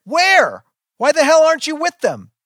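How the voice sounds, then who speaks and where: A man's voice: extremely high pitch, wildly swooping pitch, normal speed, fairly bright tone, no room sound, clean studio sound, voice pushed up, full character voice. A man voicing a distraught, anxious older mother who screams accusingly in an audiobook. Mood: screaming, accusing.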